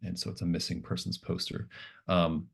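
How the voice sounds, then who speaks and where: A man's voice: deep voice, monotone, normal speed, vocal fry, husky. A man narrating a tabletop roleplaying game as game master, explaining and improvising in a hesitant, thinking-aloud manner.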